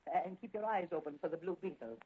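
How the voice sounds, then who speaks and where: Anxious radio scientist, high husky voice, halting, fretful, muffled vintage recording. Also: male voice, animated. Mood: flustered. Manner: hesitant, halting, repeating himself. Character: nervous, stammering scientist doctor, cautious and fretful. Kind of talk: 1940s radio acting.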